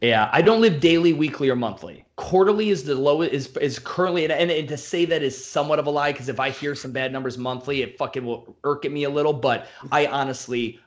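A man who owns a gym, talking bluntly and profanely in a casual podcast conversation. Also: mid-range voice. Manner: blunt, profane, fast-flowing rants. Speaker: gym owner.

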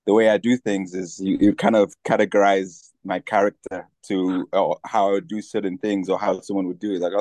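A man's voice: on the low side, warm tone, no room sound. A man, a guest in a casual interview who talks easily with many fillers and restarts. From Tanzania.

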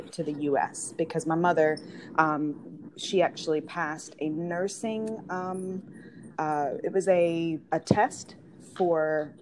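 A woman in her thirties from Georgia, with a low voice, speaks as an interview guest. She talks thoughtfully and often pauses with ums and restarts. There is a steady hiss of noise throughout the recording. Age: 30s. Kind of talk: casual interview, personal story. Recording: noisy recording.

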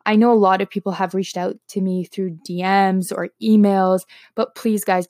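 A young Canadian woman, a native Slovak speaker, talks informally to her online followers. Her voice sits at an average pitch. She phrases things hesitantly and chattily, recorded dry with very little room sound. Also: creaky, darkish tone, no echo. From Toronto, Canada. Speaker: health and wellness blogger. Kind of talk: casual chat to followers.

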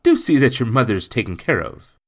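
A man voicing a kindly, concerned gentleman in an audiobook, genteel and sympathetic in manner. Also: medium pitch, sing-song, fairly quick, voice pushed up. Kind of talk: audiobook character voice.

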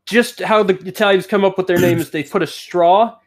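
Male comedy co-host, fairly high voice, casual banter, profane humour. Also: fairly even intonation, fairly quick. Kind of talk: casual joking chat.

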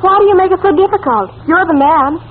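Casting: petulant young princess, high voice, coquettish and bossy, old radio comedy, thin crackly sound. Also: female voice, animated, fast talker. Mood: bossy, impatient. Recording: scratchy, narrow-band old radio recording.

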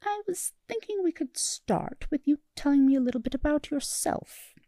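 A woman's voice: high-pitched, lively intonation, normal speed, no echo, studio quality, pitched above the performer's own voice. A woman voicing an eager, strained-polite male robot therapist in a fan audiobook. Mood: awkward. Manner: bright, encouraging, strained politeness.

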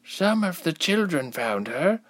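A man's voice: on the high side, sing-song, measured pace, voice pushed up. A man voicing anxious, curious villagers in an audiobook story. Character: anxious, curious villagers gossiping about a stranger. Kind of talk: audiobook character voice.

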